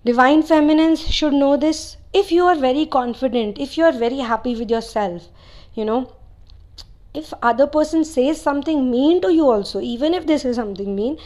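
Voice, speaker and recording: A woman's voice: sits high, normal speed, a little mellow. Chatty Nepali-born woman spiritual channeler. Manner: chatty, rambling, many "you know" asides. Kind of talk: casual spiritual talk to viewers.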